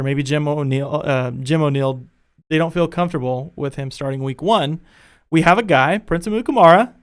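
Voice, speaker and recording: A young man hosting a casual sports podcast from his home studio, chatty and energetic, his voice a bit worn. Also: fairly high pitch, clean tone. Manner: energetic, chatty, thinking aloud. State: losing his voice. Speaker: podcast host.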